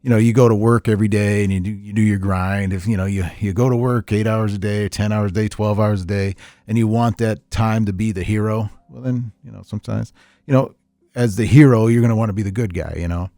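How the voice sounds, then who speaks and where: A middle-aged man chatting casually on a podcast, telling stories in a rambling, animated way with repeats and chuckles. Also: on the low side, fairly quick, dry recording, clean studio sound. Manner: animated storyteller, chuckles often, repeats himself. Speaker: podcast host, veteran tabletop gamer.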